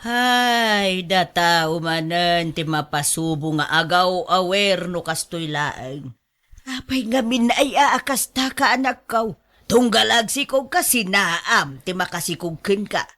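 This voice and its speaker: A woman's voice: fairly low pitch, slow pace, fairly bright tone. A woman acting an exasperated older mother in an Ilocano radio drama, nagging and complaining at her grown daughter. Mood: weary disapproval.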